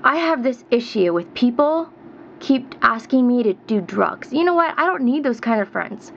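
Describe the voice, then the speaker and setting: A young woman in her twenties vents at the camera, her voice high and insistent as she repeats her points with rising pitch. The sound is dull and lo-fi, like a cheap webcam mic. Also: sing-song, moderate pace, vocal fry, a little hiss or hum. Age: 20s. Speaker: vlogger. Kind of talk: heated rant to camera.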